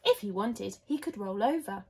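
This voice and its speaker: A woman's voice: mid-range voice, lively intonation, moderate pace, a little mellow, slightly rough. A woman voicing an eager, know-it-all little girl in a storybook, speaking earnestly and insistently.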